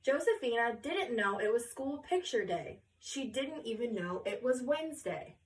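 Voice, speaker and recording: A woman reading a children's story aloud with expressive, deliberate phrasing, pausing to question her listener. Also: fairly high pitch, recorded from a distance, low-quality recording.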